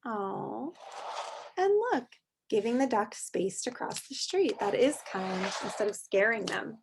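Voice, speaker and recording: A woman's voice: medium pitch, lively intonation, unhurried, vocal fry, noisy background. A woman teacher reading a picture book aloud to young children, expressively and in simple words, with chatty asides.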